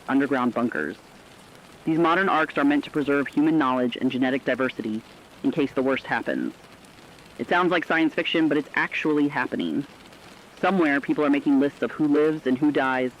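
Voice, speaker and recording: Male actor as compassionate radio drama co-anchor, medium pitch, somber scripted commentary, reflective delivery, dull lo-fi recording. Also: slight background noise, natural voice. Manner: earnest, reflective.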